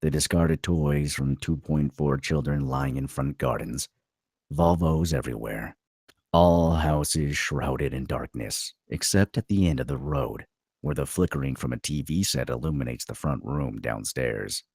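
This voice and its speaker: A man narrating a screenplay at a table read, with a gravelly, husky voice. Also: very deep voice, a little slow, a little mellow, dry recording, clean studio sound.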